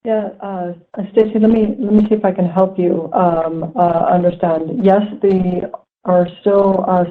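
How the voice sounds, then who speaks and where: Brief: female finance exec, flat measured delivery, phone-line audio. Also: on the low side. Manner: measured, fillers between phrases. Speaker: company finance executive. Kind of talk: earnings call answer.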